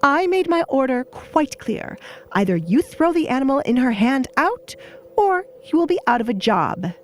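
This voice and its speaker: A woman's voice: high-pitched, big pitch swings, normal speed, slight background noise, voice pushed up. A woman voicing a harsh, imperious middle-aged baroness in an audio drama, with a cold, commanding manner. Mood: cold, threatening. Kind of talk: audio drama character acting.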